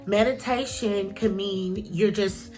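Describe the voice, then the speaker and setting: A woman chats to camera in an easy, encouraging, slightly preachy way. Her nose is a little stuffy, and her voice sits at a middle pitch. Soft music plays faintly underneath. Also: slow pace. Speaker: vlogger. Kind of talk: casual talk to camera.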